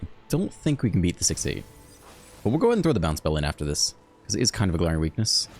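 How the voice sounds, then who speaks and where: A man hosting a card game video, thinking aloud in a relaxed, chatty way as he plays. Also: low-pitched, fast talker, faint music behind. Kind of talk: casual live gameplay commentary.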